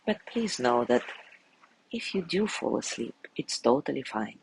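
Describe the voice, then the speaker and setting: A man guiding a sleep meditation in a gentle, soothing way, softly spoken and unhurried, with long pauses between phrases. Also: mid-range voice, sing-song, gravelly, a little hiss or hum, low-quality recording. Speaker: meditation guide.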